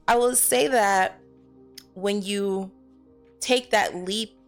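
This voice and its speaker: A young woman chatting casually and candidly as an interview guest, telling stories in an animated way. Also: medium pitch, very slow, soft background music. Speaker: guest actress being interviewed.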